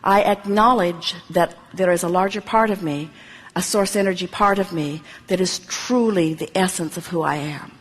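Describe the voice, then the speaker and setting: A woman's voice: on the low side, moderate pace. Woman's meditation narration in long reverb. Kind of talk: guided meditation, first-person affirmations. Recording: long reverberant hall-like echo.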